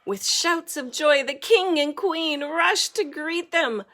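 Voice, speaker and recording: A woman reading a children's story aloud as an expressive narrator, dramatic and deliberate in her delivery. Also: high voice. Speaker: storybook reader.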